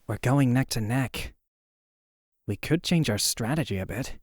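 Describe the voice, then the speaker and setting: A man voicing a mild, diplomatic young cartoon teammate who reasons gently. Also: medium pitch, lively intonation, measured pace, dry recording, studio quality. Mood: reasoning.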